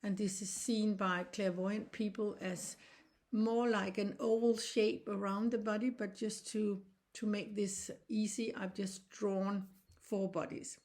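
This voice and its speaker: A Danish woman in her sixties, a reflexology teacher speaking English as a second language, explaining in an earnest, halting way with frequent restarts. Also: medium pitch, little pitch movement, a little mellow. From Denmark. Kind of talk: informal online lesson.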